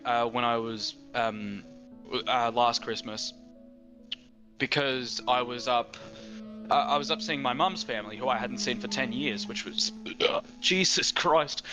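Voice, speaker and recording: Bright-voiced Australian teen guy. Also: mid-range voice, measured pace, soft background music. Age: teens. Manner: rambling, many fillers and restarts. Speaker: guest. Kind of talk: casual chat.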